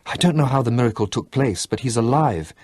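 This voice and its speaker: A man's voice: medium pitch, expressive, brisk, a little mellow, dry recording, voice pushed up, natural voice. A man voicing a devout, hopeful old mother in a fervent storytelling way.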